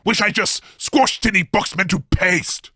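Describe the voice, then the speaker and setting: A man's voice: high-pitched, unhurried, pushed and bright, no echo, studio quality, heavily acted. A man voicing a doleful, dim, brutish monster with childlike broken grammar in an absurd radio comedy. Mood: sulky sorrow. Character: mournful, dim brutish big-headed monster.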